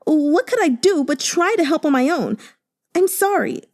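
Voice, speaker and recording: A woman's voice: high voice, sing-song, normal speed, darkish tone, voice pushed up. A woman voicing a pampered young man who sobs and stammers as he pleads, breathless and tearful. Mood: sobbing pleading. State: sobbing.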